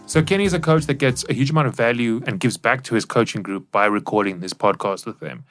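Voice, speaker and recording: A man's voice: medium pitch, quick pace, a bit bright, faint music behind. A man hosting a podcast and discussing things analytically. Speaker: podcast host.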